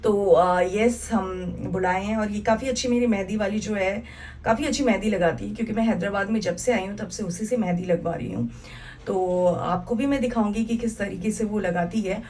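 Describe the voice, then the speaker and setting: A woman's voice: medium pitch, steady tone, normal speed, a little mellow, slight background noise. A young woman from Bihar vlogging casually to camera, chatty and full of fillers, with a sore throat. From Bihar, India. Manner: chatty, mixes English into Hindi, many fillers. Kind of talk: casual vlog chat to camera.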